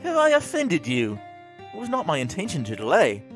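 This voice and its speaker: Tormented man sliding into madness, higher voice. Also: huge pitch swings, soft background music. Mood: apologetic, confused. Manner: distraught, halting, formal wording. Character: tormented man descending into grief-stricken madness. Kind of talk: audio drama acting.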